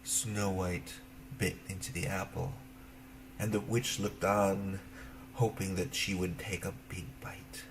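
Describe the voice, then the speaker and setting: Male storyteller, low pitch, expressive children's narration, simple phrasing, faint background noise. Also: slow. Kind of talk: children's fairy tale narration.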